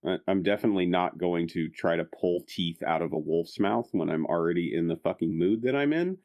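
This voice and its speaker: A man's voice: low voice, brisk, lo-fi sound. A man chatting casually as a player in a tabletop fantasy game.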